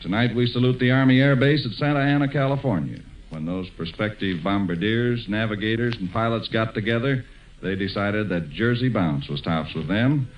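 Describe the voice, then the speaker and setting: Male American radio host, 1940s broadcast style, lowish voice, muffled vintage sound. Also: lively intonation, moderate pace. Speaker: radio show host and announcer. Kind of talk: 1940s radio announcing, scripted.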